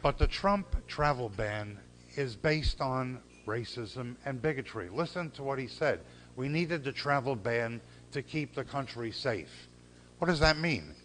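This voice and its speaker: An older man giving a speech at a gala, earnest and measured, with pauses and repeated phrases. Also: mid-range voice, lively intonation, a bit bright, slight background noise. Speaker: civil rights lawyer giving a gala speech. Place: banquet hall.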